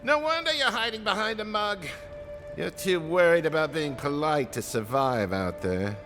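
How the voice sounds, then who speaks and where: A woman's voice: low-pitched, expressive, moderate pace, a bit bright, faint music behind. An actress playing a brash female adventurer in a video game, mocking and swaggering as she talks.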